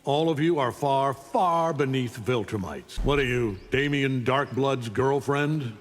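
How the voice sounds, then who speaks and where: Guy playing scornful domineering supervillain conqueror, game dialogue, mid pitch, big echoey reverb. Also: a little slow, natural voice. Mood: contemptuous. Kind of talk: video game character dialogue. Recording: long hall reverb.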